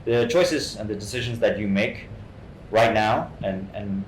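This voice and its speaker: Man, low pitch, from Hong Kong, halting delivery, repeated words, interview answers, echoey room, faint noise. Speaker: guest actor. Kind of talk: informal artist talk, answering questions.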